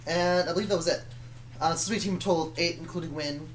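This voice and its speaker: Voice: medium pitch, fairly even intonation, quick pace. Rambling casual storyteller, noisy recording. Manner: rambling, hesitant, self-correcting. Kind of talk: casual storytelling about own fictional universe.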